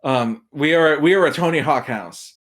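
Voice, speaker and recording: A man with a somewhat high-pitched voice talks casually as a podcast guest. He is animated and jokey, wandering through asides and acting out what imagined people would say. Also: moderate pace. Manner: animated, acts out imagined quotes. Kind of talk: casual chat.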